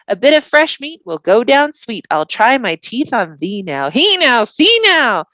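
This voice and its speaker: A woman's voice: a little high, sing-song, unhurried, sharp tone, dry recording, voice pushed up, full character voice. A woman voicing a greedy old male troll in rustic, taunting rhyme for a storybook. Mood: menacing, hungry.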